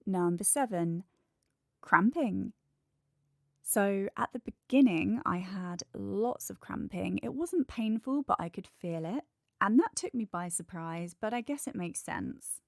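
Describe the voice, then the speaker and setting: A woman's voice: mid-range voice, expressive, measured pace, vocal fry, no echo, studio quality. A congested woman with a nasal voice, hosting a candid, chatty solo podcast and working through a numbered list. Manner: candid, chatty, lists points one by one. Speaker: podcast host. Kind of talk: casual solo podcast monologue.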